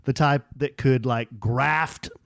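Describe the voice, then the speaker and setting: A man's voice: medium pitch, slow, gravelly. A middle-aged man spins a casual yarn on a podcast, and his voice jumps around as he acts out what people said. He sits close to the mic in a dry, dead room with no echo. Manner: animated storyteller, acts out quoted dialogue. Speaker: podcast co-host. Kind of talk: casual storytelling chat.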